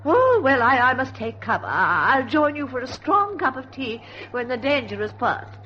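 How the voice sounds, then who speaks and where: A woman's voice: high voice, big pitch swings, moderate pace. An actress playing a fussy, pedantic older woman in an old-time radio drama, prim, scolding and fluttery. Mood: fretful, fluttery. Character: Martha, fussy, pedantic, fretful older woman, stickler for grammar. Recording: muffled old radio recording, faint noise.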